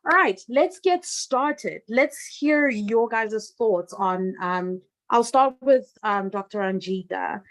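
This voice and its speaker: A woman moderates an online panel over a video call. She speaks at a mid pitch with a gravelly, creaky voice. Her manner is friendly, with filler ums as she introduces the speakers. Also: takes it slowly, few pauses, fairly bright tone, rough recording.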